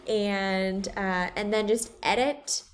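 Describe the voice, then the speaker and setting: A woman art teacher talking students through a website and a poster template, relaxed, with many ums as she thinks aloud. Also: medium pitch, drawn-out words, slight background noise. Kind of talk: screen-share class walkthrough.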